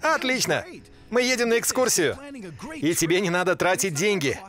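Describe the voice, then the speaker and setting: An anxious teenage boy from a cartoon. His voice sits high and he sounds uneasy. Music plays clearly behind him. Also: sing-song, normal speed, fairly bright tone. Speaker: voice actor in a dubbed cartoon. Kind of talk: animated series dubbing.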